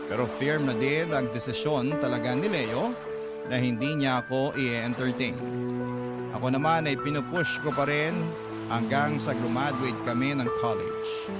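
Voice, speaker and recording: A Filipino man narrates a first-person letter for a radio drama. His mid-pitched voice is emotive and lamenting, set over loud music.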